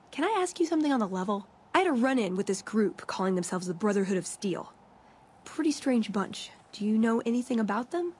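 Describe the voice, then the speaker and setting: A woman's voice: mid-range voice, darkish tone, breathy edge. A woman playing a friendly, wry young scavenger companion in a video game, chatty and playful. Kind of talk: video game dialogue acting.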